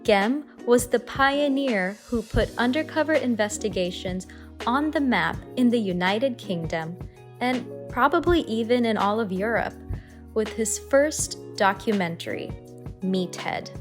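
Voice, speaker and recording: Effusive woman podcast host, slow and warm. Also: on the high side, animated, soft background music. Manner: effusive, gushing, frequent ums. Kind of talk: enthusiastic podcast interview.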